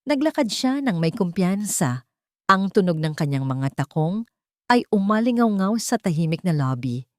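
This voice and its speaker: Low-voiced Filipino woman narrating a dramatic story. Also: sing-song, moderate pace, no echo, studio quality.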